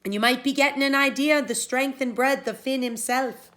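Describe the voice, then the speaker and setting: A woman voicing a gentle, sly, composed storybook wife with a sweet, coaxing manner. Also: sits high, steady tone, fairly quick, pitched above the performer's own voice. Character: gentle, sly, reassuring Irish giant's wife.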